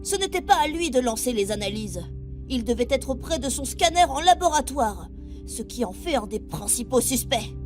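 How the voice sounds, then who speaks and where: Une femme qui joue un garçon de sept ans sérieux et inquiet, candide et vite excité, stagiaire spatial dans une fiction audio. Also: female voice, sits high, normal speed, faint music behind. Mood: accusing. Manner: wide-eyed, earnest, excitable. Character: earnest, anxious seven-year-old boy, space crew intern. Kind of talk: audio drama acting.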